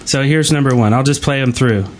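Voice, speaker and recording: A man's voice: mid-range voice, fairly quick, a little hiss or hum. A man co-hosting a gaming podcast in a casual, chatty style. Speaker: podcast co-host.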